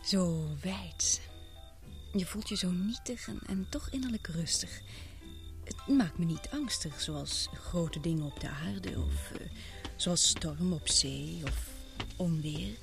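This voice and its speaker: A woman playing a warm, enthusiastic scientist on an expedition in a Dutch radio play. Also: fairly low pitch, takes it slowly, gravelly, soft background music. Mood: hushed, awed.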